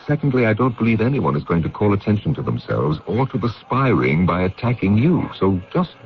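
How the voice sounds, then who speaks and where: A man acting in a vintage radio drama as a steady, practical confidant and investigator who reasons matter-of-factly. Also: fairly low pitch, brisk. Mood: reassuring, reasoning. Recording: muffled old radio broadcast.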